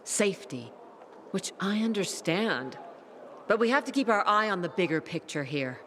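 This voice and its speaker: A blunt proud army guy in a video game, high-ish voice, brusque, noisy background. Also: animated, moderate pace, a bit bright. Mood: matter-of-fact. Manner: brusque, informal. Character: blunt, proud soldier briefing a newcomer. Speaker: faction officer giving briefings.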